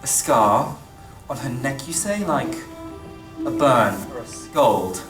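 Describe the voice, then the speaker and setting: A woman playing a kindly, well-to-do older gentleman in a staged radio play, formal and full of concern. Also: very deep voice, animated, takes it slowly, music in the background, exaggerated voice. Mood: shocked, resolute. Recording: echoey stage recording from far off.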